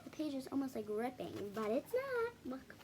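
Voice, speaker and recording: A woman hosting a children's read-aloud video, reading a picture book with casual, halting, self-correcting asides to viewers. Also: high voice, very animated, background noise. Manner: halting, self-correcting, apologetic while showing pages.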